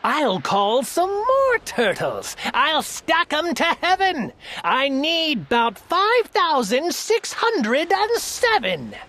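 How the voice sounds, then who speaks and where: A woman voicing a boastful, tyrannical male turtle king in a storybook reading, loud and grandiose. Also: high voice, wildly swooping pitch, slow pace, pitched above the performer's own voice, heavily acted. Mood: indignant.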